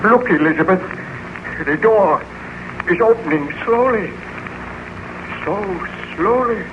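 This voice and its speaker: An actor playing a haunted, defiant scientist with an earnest, formal, theatrical delivery in 1930s radio horror style. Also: male voice, high-pitched, lively intonation, slow pace. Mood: dread. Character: haunted, defiant male scientist, maker of a monster. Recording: muffled, noisy old 1930s radio recording.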